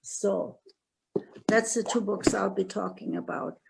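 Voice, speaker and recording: Older woman, German accent, author interview, slow, hesitant, gravelly. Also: medium pitch, fairly even intonation, heavy background noise. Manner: slow, halting, many pauses and fillers. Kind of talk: interview, recounting memoir.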